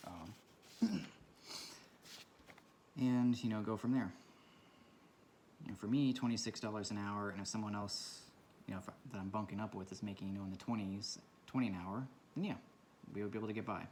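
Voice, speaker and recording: A man with a naturally low, deep voice talks softly and unhurriedly, drifting from thought to thought as he sketches. The sound comes from the camera's own microphone, with a faint hiss underneath. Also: steady tone, normal speed, long pauses. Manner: speaks quietly, rambling and reflective. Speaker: vlogger. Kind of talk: casual talk while drawing. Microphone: camera mic from a propped camera.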